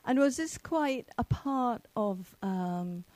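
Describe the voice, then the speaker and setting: A woman's voice: on the high side, lively intonation, takes it slowly, a little mellow, no echo. A woman from England hosting an interview podcast, asking long rambling questions with many fillers. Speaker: podcast host. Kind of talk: casual interview.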